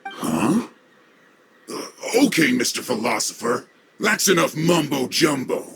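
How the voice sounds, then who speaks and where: A man playing a gruff, dismissive camp guard in a video game, curt and irritated. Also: medium pitch, big pitch swings, unhurried, heavy background noise. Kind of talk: video game character acting.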